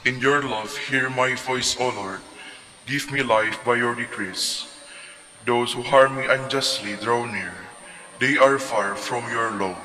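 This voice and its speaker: A man recites liturgical psalms at a steady, measured pace. His tone is bright and clear. The voice rings out in the long reverb of a large church. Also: medium pitch.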